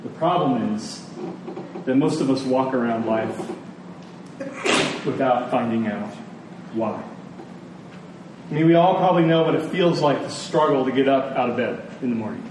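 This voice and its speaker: A man preaching a sermon as a pastor, conversational and earnest, with rhetorical questions and emphatic pauses. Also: medium pitch, a little mellow. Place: large room, preaching to a congregation. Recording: echoey room, distant mic, faint noise.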